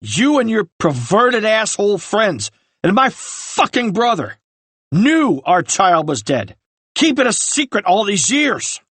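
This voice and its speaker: An explosive, grief-stricken father, as a male narrator acts him out, his voice pushed high and loud in bitter accusation. The sound is close and dry. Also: unhurried, hardly any pauses, no echo. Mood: enraged. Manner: shouting, bitter, seething. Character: furious grieving father, explosive. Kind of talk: audiobook character reading.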